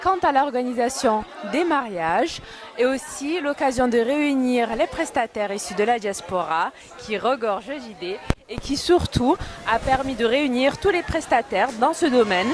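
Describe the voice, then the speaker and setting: A woman TV reporter narrating the close of an event report in a measured, clear delivery. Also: fairly high pitch, animated, crowd noise. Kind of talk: news report narration and interviewing.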